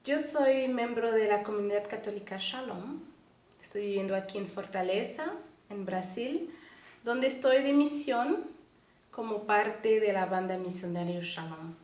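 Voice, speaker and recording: A woman's voice: mid-range voice, a little mellow. Earnest Canadian woman with Mexican-tinged Spanish. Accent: Mexican-tinged Spanish mixed with Portuguese. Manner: earnest, unhurried, frequent hesitations. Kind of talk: personal faith testimony. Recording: roomy echo.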